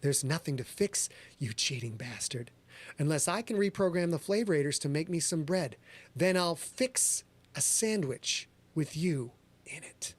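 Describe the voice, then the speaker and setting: Casting: man doing deranged cannibal crewwoman, low menacing taunt, read-aloud horror tale. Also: expressive, unhurried. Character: crazed cannibal woman crewmate on space station. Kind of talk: reading a horror story aloud.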